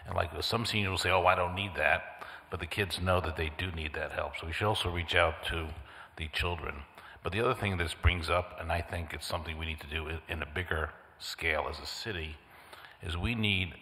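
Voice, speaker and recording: A councilman speaking at a public hearing, direct and brisk. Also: low-pitched, bright, forceful tone. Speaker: city councilman. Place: city council hearing room. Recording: echoey council chamber with long reverb.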